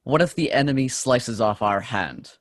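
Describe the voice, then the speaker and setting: A man's voice: medium pitch, lively intonation, normal speed, dry recording, studio quality, lightly acted. A man playing a scornful, scheming medieval French soldier in a play reading, mocking and theatrical. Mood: mocking doubt. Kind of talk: stage play table read.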